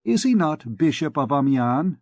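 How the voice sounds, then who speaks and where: A man's voice: fairly high pitch, big pitch swings, unhurried, darkish tone, no echo. A man reading aloud gives us a learned archdeacon of an old storybook. He is guarded and courtly, probing with polite questions. The voice sits a little raised and sounds close and dry. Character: guarded, learned male archdeacon, middle-aged. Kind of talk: audiobook dialogue.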